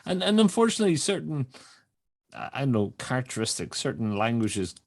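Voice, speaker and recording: A man's voice: mid-range voice, sing-song, brisk. Northern Irish man in his fifties. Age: 50s. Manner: chatty, repeats words as he gets going. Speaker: podcast co-host. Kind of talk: casual chat.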